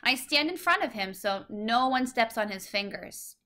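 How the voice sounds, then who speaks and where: A woman reading a children's story aloud as scripted narration, with expressive, clear phrasing. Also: fairly high pitch, normal speed. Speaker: storybook reader.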